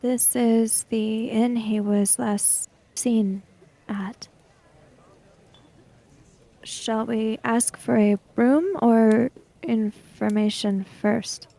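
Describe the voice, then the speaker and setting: A female samurai voiced by a woman in a tabletop game, polite and puzzled. Her voice is slightly high and creaky, and she speaks slowly and hesitantly. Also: little pitch movement, dark tone, heavily acted. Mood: composed.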